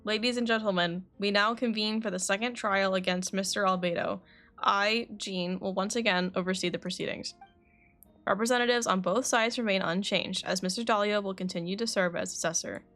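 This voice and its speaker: An actress voices a courtly woman knight who presides over a trial in a video game. She is measured, composed and authoritative, at a middling pitch, with soft music beneath. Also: steady tone, natural voice. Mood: solemn.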